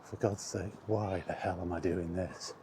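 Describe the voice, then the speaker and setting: A man with a deep voice talks at an unhurried, slow pace, as in a casual walking vlog. He rambles in a self-deprecating, mildly exasperated way. The recording is made outdoors, with faint ambient noise behind him. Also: fairly even intonation. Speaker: vlogger. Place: outdoors on a path in windy weather. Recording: outdoor camera audio with background noise. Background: outdoor ambience, wind.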